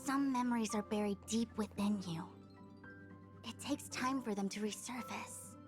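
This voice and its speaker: A woman's voice: fairly high pitch, normal speed, music in the background, close to an everyday voice. An actress playing a composed, thoughtful young woman who guides others in a video game, in scripted voice acting. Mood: calm, thoughtful.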